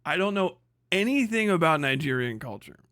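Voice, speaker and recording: High-pitched, bright-voiced man streaming. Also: sing-song, a little fast, dry recording, clean studio sound. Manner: profane, exclamatory. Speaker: live streamer. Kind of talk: casual reaction chat.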